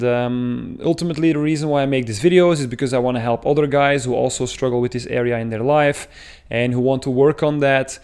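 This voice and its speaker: A man's voice: medium pitch, a little mellow, clean tone. A man from Belgium with a thick Belgian accent, talking earnestly to camera as a dating coach, explaining at length with frequent filler sounds. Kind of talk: talking-head advice video.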